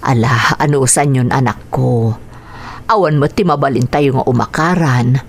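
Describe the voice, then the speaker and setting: Casting: weary, loving mother, radio drama. Low register, gentle, apologetic. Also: moderate pace. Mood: weary, patient. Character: patient, sorrowful working mother comforting her child.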